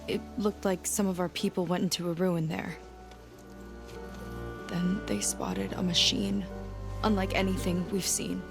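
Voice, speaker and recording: A determined young female scout from a video game. Her voice sits on the low side and she speaks frankly and earnestly, with music clearly playing underneath. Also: animated, measured pace, pauses often. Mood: grave, earnest. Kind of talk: video game cutscene acting.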